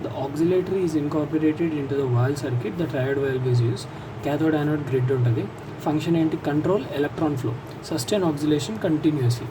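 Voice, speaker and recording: A man with a Telugu accent teaching informally, explaining step by step and asking questions that he answers himself. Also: on the high side. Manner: explanatory, asks and answers own questions, slows to dictate English sentences. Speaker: teacher explaining a circuit diagram to students. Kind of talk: informal lesson, mixing Telugu with English terms. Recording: distant mic, noisy with vehicle sounds.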